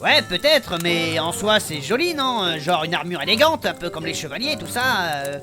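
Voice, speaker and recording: A woman's voice: fairly low pitch, big pitch swings, normal speed, over music. Hesitant, awkward male companion, voiced by a woman. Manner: hesitant, trailing off with many ums. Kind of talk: comedic fantasy audio drama acting.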